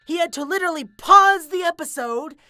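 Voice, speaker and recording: A woman's voice: extremely high pitch, expressive, a little fast, bright, forceful tone, no room sound, clean studio sound, heavily acted. A woman voicing an irritable, ranting young pony in a parody cartoon, sarcastic and exasperated.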